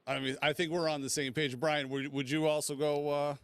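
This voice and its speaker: A man's voice: fairly high pitch, level tone, fairly quick, smooth, no echo. A man co-hosting a casual movie debate, informal and enthusiastic, repeating himself for emphasis. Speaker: podcast co-host.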